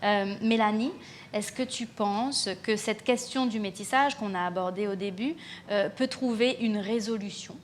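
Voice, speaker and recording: A woman hosting and moderating a live conference panel, asking her guests brisk, direct questions. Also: mid-range voice, slight background noise. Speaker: host and moderator.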